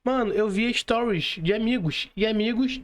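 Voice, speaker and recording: A man's voice: sits high, normal speed. A Brazilian man hosting a podcast, chatting casually in an agreeable, slangy conversational way. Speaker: podcast host.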